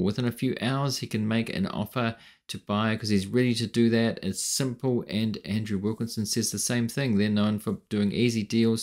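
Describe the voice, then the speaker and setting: A middle-aged man hosting an investing channel, talking alone to camera at home in a measured, explanatory way. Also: on the low side. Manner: measured, explanatory, asks viewers questions. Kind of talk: solo commentary to camera. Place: room at home with window. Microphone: desk microphone in front.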